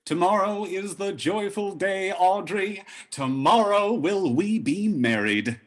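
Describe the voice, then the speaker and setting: Man playing a slow, swooping, husky clown. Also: high voice, animated, faint music behind, full character voice. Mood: jolly, showy. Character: merry, boisterous clown courting a country woman. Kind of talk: Shakespearean radio play acting. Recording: radio play recording.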